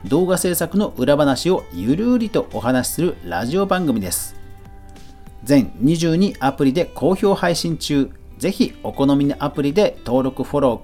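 A man's voice: on the high side, darkish tone. A man hosting a solo news roundup podcast, talking in a chatty, conversational way and reacting aloud to each item. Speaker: podcast host and YouTuber. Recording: music playing behind the voice. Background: guitar music.

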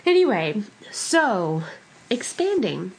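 A woman hosting a podcast, husky and stuffed up from a summer cold, talking casually with a rambling, self-deprecating manner. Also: medium pitch, sing-song, slow pace, slight background noise, low-quality recording. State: congested from a cold. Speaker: podcast host.

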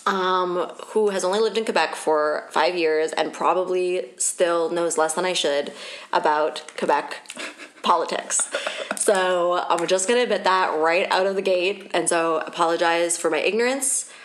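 A woman's voice: fairly low pitch, fairly even intonation, measured pace. A woman from Ontario co-hosting a casual political podcast, chatty and self-deprecating with many fillers. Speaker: podcast co-host.

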